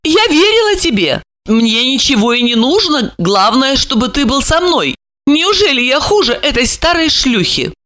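A woman's voice: high voice, very animated, moderate pace, pushed and bright, voice pushed up, exaggerated voice. A woman voicing a young, jealous mistress in an audiobook, theatrically emotional, mocking and pleading by turns. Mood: tender, pleading. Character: young scornful, jealous, pleading mistress, spiteful and hot-tempered.

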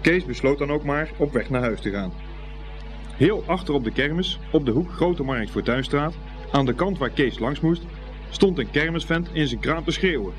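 A man's voice: fairly high pitch, expressive, moderate pace, soft background music. A man reading scripted storybook narration as the narrator of a radio play.

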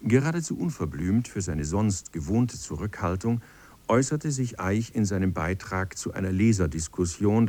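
A man narrating a scripted radio feature in a measured, precise, literary manner, close to a studio microphone. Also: low voice, warm tone, no echo.

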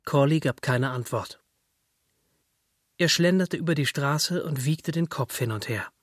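A man giving a measured, scripted literary narration as a storyteller. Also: fairly high pitch, dry recording, studio quality. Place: dry studio.

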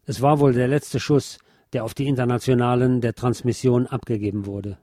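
A man's voice: mid-range voice, steady tone, normal speed, warm tone. A man reading a scripted historical text aloud as a narrator.

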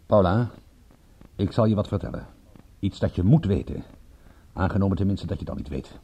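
An actor playing a brooding, blunt, dogged private detective in a radio drama, with a slightly husky voice. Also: male voice, sits low, animated, moderate pace, mellow, heavily acted. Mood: grave, insistent.

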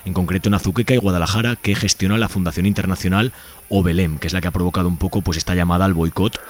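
A man's voice: sits low, fairly even intonation, very fast. A man with an accent from Spain, hosting a radio interview in an informal, conversational way with frequent checking tags. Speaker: radio host.

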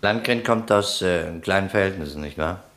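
An actor playing a shrewd, skeptical police detective in a German crime radio play, questioning in a dry, probing, sardonic way. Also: male voice, low-pitched, moderate pace, fairly bright tone. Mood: suspicious, probing.

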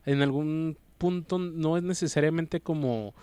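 A man, his voice a touch high, talks about a book in a relaxed discussion. He gropes for words and restarts his phrases. The sound is close and dry, with no room around it. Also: clean tone, no room sound. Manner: searching for words, halting restarts. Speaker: book club podcast co-host. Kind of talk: casual literary discussion.

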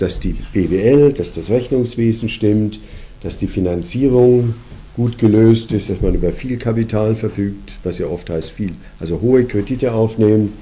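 A man hosting a conversation, a company founder who lectures reflectively and argues his points with many pauses and fillers. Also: on the low side, warm tone. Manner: reflective, argumentative, hesitant with fillers.

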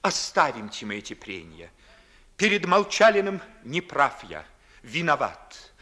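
An actor playing a lovesick, sharp-witted young nobleman in a 1950s Russian verse radio play, with declamatory, theatrical delivery. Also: on the high side, huge pitch swings, unhurried, full character voice. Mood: ironic. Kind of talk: 1950s Russian classical stage acting in verse.

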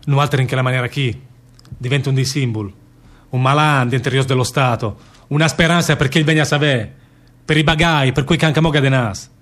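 A man's voice: medium pitch, fairly even intonation, a little fast, a bit bright, natural voice. A man playing an idealistic, defiant prisoner in a radio play, speaking in Milanese dialect. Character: idealistic, defiant male prisoner, terse cellmate. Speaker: stage actor. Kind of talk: radio theatre dialogue in dialect.

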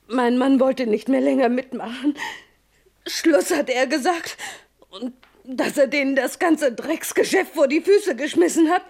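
An anxious widow in a radio crime drama. Her voice is high and pleading as she confesses to the inspector, full of fear. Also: a little mellow.

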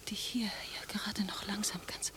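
An actress playing an intense, restless woman in a radio drama, speaking hushed and breathily. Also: mid-range voice, steady tone, normal speed, in a whisper, natural voice. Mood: breathless resolve. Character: intense, restless young woman scheming with lover. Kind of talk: German radio drama acting.

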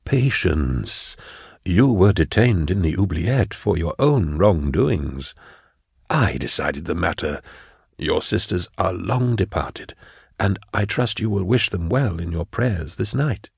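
A man voicing a smooth, falsely kindly prefect in an audiobook, soft and whispery, with measured, condescending phrasing. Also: low voice, lively intonation, no echo, studio quality, exaggerated voice. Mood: calmly condescending. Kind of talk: audiobook character acting.

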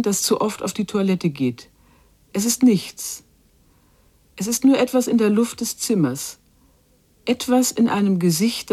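A woman's voice: mid-range voice, warm tone, breathy edge. An actress playing a watchful, uneasy woman in a literary radio drama, speaking in a restrained, introspective monologue. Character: watchful, uneasy woman voicing a girl's hidden fears.

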